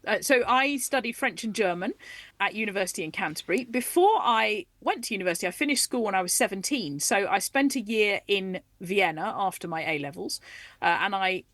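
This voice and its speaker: A woman in her forties talking as a guest on a radio interview, eager and animated, and quick to laugh. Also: mid-range voice, no echo. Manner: animated, enthusiastic, laughs easily. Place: radio studio.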